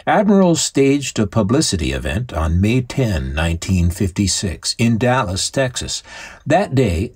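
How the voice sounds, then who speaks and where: A man's voice: fairly low pitch, a little mellow, breathy edge. A man hosting and co-narrating in a scripted but conversational documentary style. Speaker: host and co-narrator.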